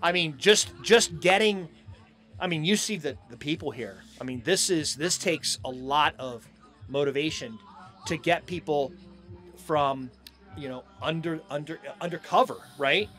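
Halting middle-aged American man, slightly high voice. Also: fairly bright tone, soft background music. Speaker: hemp farm owner being interviewed. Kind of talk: casual interview. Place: outdoors on a festival lawn. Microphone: handheld microphone.

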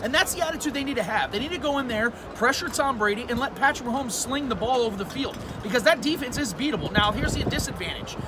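A man giving opinionated postgame fan analysis outdoors in a stadium at night, emphatic and forceful. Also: extremely high pitch, expressive, quick pace, few pauses. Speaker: guest podcaster. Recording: loud outdoor stadium noise.

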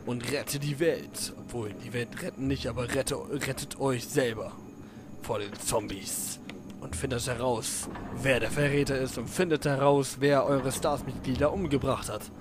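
A man talks his way through a video game in a loose, chatty style, cracking small jokes and coaxing the on-screen characters along. His voice sits at an ordinary middle pitch. Game music plays clearly behind him. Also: normal speed. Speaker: let's play commentator. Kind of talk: casual gameplay commentary.

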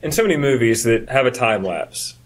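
A man's voice: medium pitch, animated, a little fast. A man co-hosting a podcast in a casual, conversational style, explaining things and giving his opinions. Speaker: podcast co-host. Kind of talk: casual chat.

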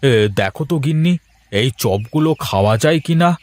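A man playing a simple, good-natured village pumpkin seller in a cartoon, with folksy drawn-out exclamations. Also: mid-range voice, animated, a little mellow, dry recording, natural voice. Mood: delighted. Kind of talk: cartoon moral-story voice acting.

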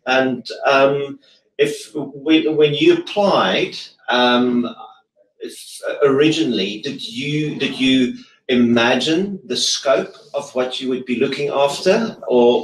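A man in his thirties hosting a relaxed group conversation in an office meeting room, stopping and restarting often. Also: mid-range voice, roomy sound, poor audio. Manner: relaxed, halting, many restarts. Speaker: host.

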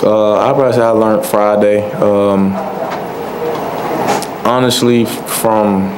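A young man speaks into a table microphone at a postgame press conference. His voice sits low and stays flat, and he talks in a relaxed, soft-spoken way with many fillers. Steady hiss runs under the room. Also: slow. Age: young adult. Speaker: college football player. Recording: noisy press room audio.

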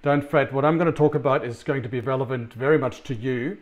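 A man's voice: medium pitch, quick pace. Australian man giving a conversational talk. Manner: conversational, many ums and restarts. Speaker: sales consultant presenter. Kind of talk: recorded conference talk.

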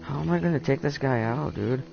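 A deep-voiced teenage boy chatting casually with a friend while playing a game, laid-back and swearing a lot. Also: a little fast, vocal fry, highs cut off, music in the background. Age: teen. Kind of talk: casual gaming chat.

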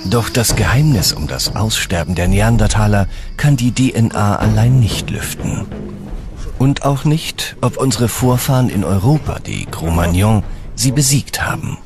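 Male documentary narrator, lowish register, expressive swings in pitch, dramatic scripted read. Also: moderate pace.

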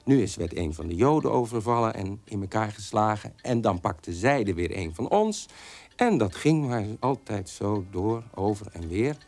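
Bitter, defiant son, animated radio monologue. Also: male voice, lowish voice, moderate pace. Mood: recounting. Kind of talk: Dutch radio drama monologue.

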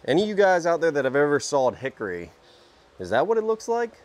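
A young man hosting a casual workshop vlog, talking in a relaxed way as he works at a sawmill under an open-sided shed. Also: on the high side, fast. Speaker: sawmill channel host.

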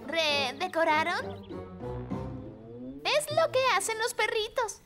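A woman voicing a kind, cheerful little girl in a dubbed children's cartoon, sweet and expressive. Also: extremely high pitch, takes it slowly, music in the background, exaggerated voice. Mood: bemused.

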